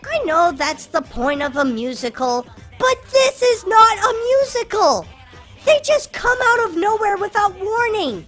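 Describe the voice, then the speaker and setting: An artificially pitch-shifted voice of a film reviewer giving opinionated scripted commentary with exasperated emphasis on key words. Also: very animated, a little slow, a bit bright, faint music behind.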